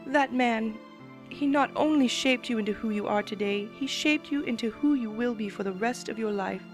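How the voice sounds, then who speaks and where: A solemn pony princess speaks gravely and tearfully, as a woman reads her part, her voice set a little high. Her measured lines sit over soft background music. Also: natural voice. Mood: sorrowful. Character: solemn sorrowful female pony princess ruler. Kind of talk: dramatic reading.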